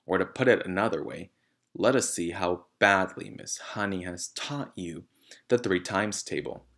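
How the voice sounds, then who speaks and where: A man voicing a bullying, tyrannical headmistress in a storybook, barking and shouting with scorn. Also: deep voice, a little slow, gravelly, heavily acted. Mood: menacing. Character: bullying, thunderous headmistress, a huge tyrannical woman.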